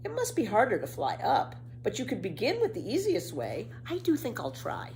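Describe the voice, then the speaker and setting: A woman's voice: a little high, wildly swooping pitch, normal speed, a little mellow, a little hiss or hum, pitched above the performer's own voice. A woman voicing a bold, carefree, mischievous young storybook girl with a breezy, matter-of-fact, exclaiming manner. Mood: dreamy, daring. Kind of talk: storybook character voice.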